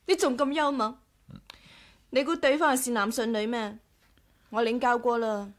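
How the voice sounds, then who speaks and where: An actress plays a quick-witted, careful adventuress in a 1980s radio drama. Her voice sits high, with a Hong Kong Cantonese accent. She is a sharp-minded woman who weighs danger and duty. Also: fairly even intonation, close to an everyday voice. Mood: wary. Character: sharp, resourceful adventuress, cautious and conscientious.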